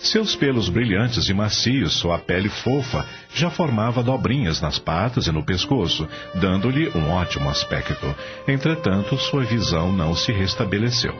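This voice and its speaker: A man narrating a radio drama in a measured, expressive storytelling style. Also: low voice. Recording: dull lo-fi audio with music behind.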